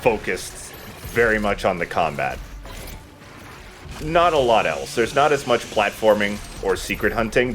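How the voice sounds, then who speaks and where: A man's voice: low-pitched, a bit bright. A man giving casual, conversational gameplay commentary as a let's play host.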